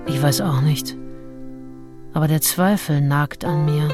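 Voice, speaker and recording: A low-pitched lovesick woman confides her diary in a radio play. She is introspective and halting, brooding over her words, with loud piano music underneath. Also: fairly even intonation. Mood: hurt, doubting. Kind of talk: radio play monologue, intimate diary reading.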